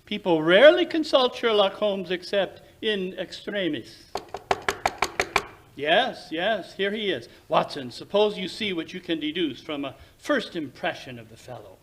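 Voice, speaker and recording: A man plays a brilliant gentleman detective from an old radio mystery. His voice is high and swoops widely as he lectures with precise, theatrical self-assurance and a touch of condescension. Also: animated, measured pace, slight background noise. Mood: brisk, welcoming. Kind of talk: recreated 1940s radio drama acting.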